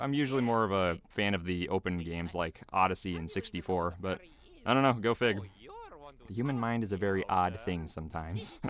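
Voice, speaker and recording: A man's voice: low voice, a bit bright. A man giving casual behind-the-scenes commentary as a video creator, rambling loosely in a small homemade booth.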